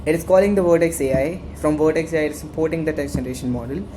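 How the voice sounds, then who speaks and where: A man's voice: on the high side, racing, dark tone, a little hiss or hum. A man with an Indian accent leading a casual screen-share workshop, talking conversationally and repeating phrases for emphasis. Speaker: workshop instructor.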